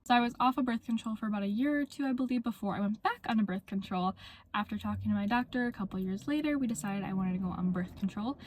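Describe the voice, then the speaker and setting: A woman's voice: mid-range voice, quick pace, a little mellow, a little hiss or hum. Chatty young woman with a slight cold. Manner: chatty, filler-heavy with frequent "like" and "um". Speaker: vlogger. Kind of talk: casual talking-to-camera vlog.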